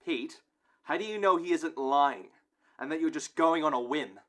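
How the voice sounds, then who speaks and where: A man's voice: on the high side, moderate pace, a bit bright, natural voice. Man acting a brusque, impatient security guard. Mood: impatient, annoyed. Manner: curt, demanding. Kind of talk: amateur audio play acting.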